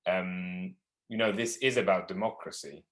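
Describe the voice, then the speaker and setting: A man with a Liverpool accent speaks in a lowish voice, earnest and measured as he presents to an online panel. His delivery is steady, with a few restarts, and it comes through a video call. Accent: Liverpool English. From Palestine and the UK.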